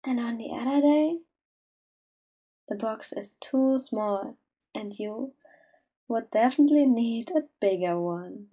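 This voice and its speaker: Female meditation guide, higher-pitched but mellow, gentle and unhurried, soft to near-whisper. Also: husky. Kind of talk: slow soothing guided meditation.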